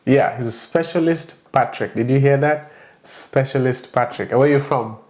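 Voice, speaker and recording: A man's voice: medium pitch, normal speed, darkish tone. A man hosting a podcast, chatting casually, laughing often and restarting his sentences. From Kenya. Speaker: podcast host. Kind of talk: casual interview chat.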